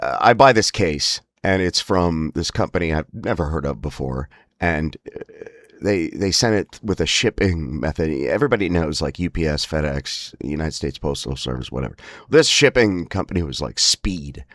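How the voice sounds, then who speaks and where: A man's voice: rumbling low, expressive, normal speed, fairly bright tone, no echo, studio quality. A man with a strained, spasmodic voice telling a casual, wry story as host of his own show, rambling and emphatic. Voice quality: spasmodic, strained breaks from spasmodic dysphonia. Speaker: host of a lifestyle series about a voice disorder. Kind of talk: casual anecdotal monologue.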